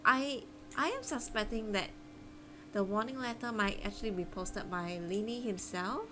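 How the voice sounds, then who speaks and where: A woman's voice: mid-range voice, normal speed. Woman streamer chatting over game music, kinda hesitant and rambly, casual. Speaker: streamer playing a story game. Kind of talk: casual livestream chat.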